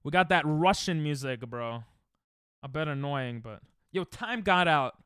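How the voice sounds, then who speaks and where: A man's voice: fairly high pitch, expressive, unhurried, fairly bright tone, smooth, no echo, studio quality. A man streaming a game, chatting casually and sarcastically with his viewers. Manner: sarcastic, joking banter with chat. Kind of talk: casual live-stream chat while gaming.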